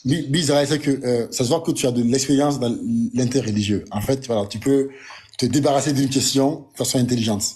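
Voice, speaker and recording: A man speaking in an online debate, insistent, talking in rapid bursts and repeating phrases. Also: mid-range voice, a little mellow. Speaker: debate panelist.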